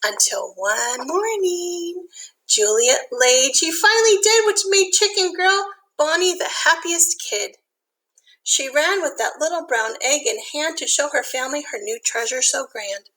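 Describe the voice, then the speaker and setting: A woman reading a picture book aloud to young children, expressive, with simple explanatory asides. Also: sits high, a little slow, low-quality recording.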